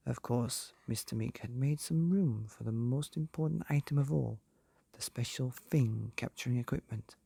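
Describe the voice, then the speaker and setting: Male storybook narrator: husky, mellow, measured delivery with deliberate pauses, for bedtime listening. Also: mid-range voice, no room sound. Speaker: narrator of a bedtime stories podcast.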